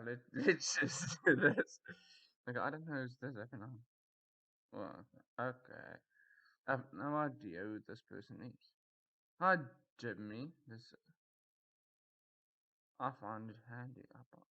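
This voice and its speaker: A young man talks in rambling, casual commentary that breaks into loud disbelief. His voice is bright and forceful, and the sound comes through a muffled, lo-fi mic. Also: mid-range voice, very animated, slow, long pauses. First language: Afrikaans. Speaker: YouTuber reviewing Reddit posts. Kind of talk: casual commentary reading posts.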